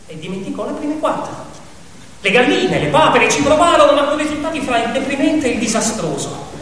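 A man narrating a fable as a theatrical dramatic monologue, with emphatic build-ups and pauses. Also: extremely high pitch, big pitch swings, fairly bright tone, a little hiss or hum. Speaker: actor and storyteller. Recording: echoey, distant reverberant hall with music.

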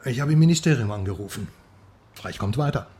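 An actor playing a talkative, teasing Western journalist with a theatrical manner in a radio crime drama. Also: male voice, medium pitch, lively intonation, brisk, dark tone, natural voice. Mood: amused storytelling. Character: chatty, mocking Western male journalist in East Berlin.

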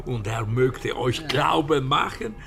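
An actor playing a shrewd, cheeky book dealer from Tuscany in a radio drama, teasing and theatrical, dropping in Italian words. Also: male voice, mid-range voice, slow pace, gravelly, a bit bright, soft background music.

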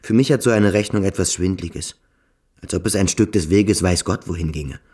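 An actor playing a brooding, observant boarding-school pupil in a radio play, speaking reflectively and deliberately. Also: male voice, low-pitched, a little mellow, husky, no echo, studio quality. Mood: uneasy fascination. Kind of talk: German radio play acting.

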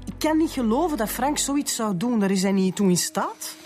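A woman's voice: a little high, animated, normal speed, a little mellow, faint music behind, lightly acted. A woman playing a distressed, protesting murder suspect in a radio crime drama, speaking with a Flemish accent. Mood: disbelieving. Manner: pleading, protesting. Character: distressed, pleading female murder suspect defending her boyfriend. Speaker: actor. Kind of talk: radio police drama acting.

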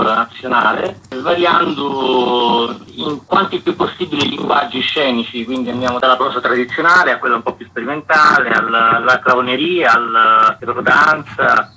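A man's voice: mid-range voice, sharp tone, a little hiss or hum, poor audio. A man speaking as an interview guest, giving spontaneous explanatory answers as a festival director.

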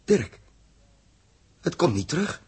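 An actor playing an earnest, troubled spaceship captain in a 1960s radio drama, emphatic and halting as he puzzles things out. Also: a little high, very animated, darkish tone, heavily acted. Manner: emphatic, halting when perplexed. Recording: rough early 1960s radio recording.

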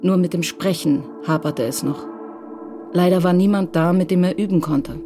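A woman reading scripted literary narration for a radio crime drama in a measured, matter-of-fact way. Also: lowish voice, over music.

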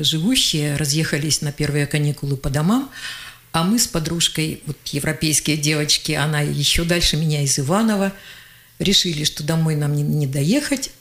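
An older woman, a journalist and geologist, telling lively anecdotes as a guest on a radio interview and acting out the voices she quotes. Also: low-pitched.

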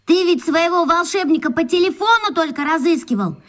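A woman's voice: very high voice, animated, fairly quick, a bit bright. A woman playing an eager, cheeky young schoolboy sidekick in a radio play.

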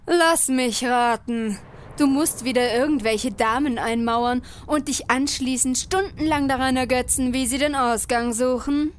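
Woman as a high-voiced, sharp-tongued guild heroine. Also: measured pace, a little hiss or hum. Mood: sarcastic. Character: sharp-tongued, alert young niece, gaming guild heroine. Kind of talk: German comedy audio drama parody.

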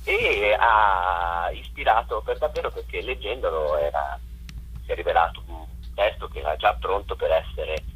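Deep, husky-voiced man, reflective. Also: moderate pace, fairly bright tone, poor audio. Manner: hesitant, reflective, searching for words. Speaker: theatre director, interview guest. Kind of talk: conversational interview.

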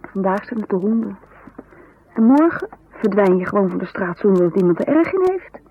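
A woman's voice: medium pitch, sing-song, brisk. An actress playing a restless, needling wife in a 1970s radio drama, pointed and exasperated in her delivery. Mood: insistent, scornful. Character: restless, nagging wife, scornful and fearful, urging escape. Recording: thin phone-like vintage radio, faint hiss.

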